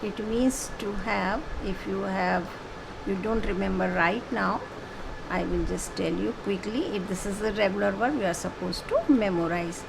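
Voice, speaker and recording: A woman teaching a French lesson online, explaining slowly with many fillers and repeated phrases. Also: medium pitch, vocal fry, background noise. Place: home.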